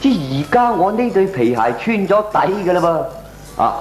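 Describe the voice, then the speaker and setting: A good-humoured, thrifty everyman explains things in a Hong Kong accent. The voice is fairly high and very animated, in the expressive manner of a comic radio play, with clear room echo. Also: normal speed, soft background music. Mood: good-humoured, frank. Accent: Hong Kong Cantonese. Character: frugal, talkative, good-humoured ordinary man. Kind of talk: comic radio drama acting. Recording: echoey old radio drama recording.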